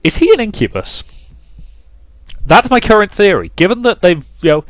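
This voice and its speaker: A man's voice: high-pitched, sing-song, moderate pace, no echo. A man hosting a let's play, moving between dramatic visual novel narration and casual commentary, close on the mic.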